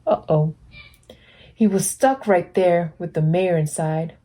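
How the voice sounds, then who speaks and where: A woman reading a picture book aloud as a volunteer storyteller, animated and putting deliberate emphasis on sound words. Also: fairly low pitch. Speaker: volunteer children's book reader.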